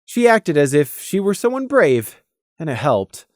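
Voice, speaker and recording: A narrator reading a scripted story aloud in an expressive, dramatic way with deliberate, emphatic pauses. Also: medium pitch, dry recording, studio quality. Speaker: audiobook narrator.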